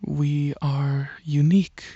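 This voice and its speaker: A courteous alien dragon prince, played by a man, talks softly in an ASMR roleplay, gently explaining with musing hesitations. The voice is husky and very gravelly, recorded very dry and close with a dulled top end. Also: fairly high pitch, level tone, takes it slowly, dry recording, highs cut off, exaggerated voice. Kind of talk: soft-spoken ASMR roleplay ramble.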